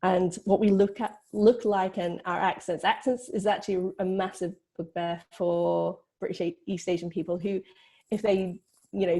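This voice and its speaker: A woman's voice: on the low side, creaky, dark tone. A woman filmmaker talking casually and reflectively as a panel guest.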